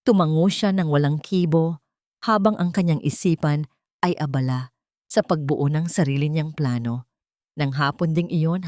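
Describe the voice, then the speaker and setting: A woman's voice: low-pitched, warm tone, dry recording, clean studio sound. A woman storyteller reading a scripted, dramatic story narration.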